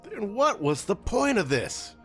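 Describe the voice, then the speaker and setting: A man plays a brash young masked hero in an audio drama, casual and sarcastic, with a lively, bouncing delivery. Faint music sits under the scene. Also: sits high, heavily acted. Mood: bewildered. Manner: sarcastic, casual, quick to swear. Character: cocky young male masked rider hero.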